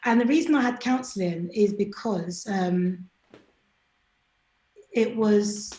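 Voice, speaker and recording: A woman's voice: mid-range voice, takes it slowly, echoey room, rough recording. A woman as an interview guest, talking candidly and animatedly, sometimes acting out what other people said to her. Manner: chatty, animated, acts out quoted people.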